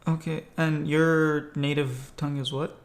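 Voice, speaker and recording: A man hosting a podcast, speaking casually and a little haltingly with an agreeable manner. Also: a little high, little pitch movement, vocal fry. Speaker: podcast host. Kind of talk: casual conversational interview.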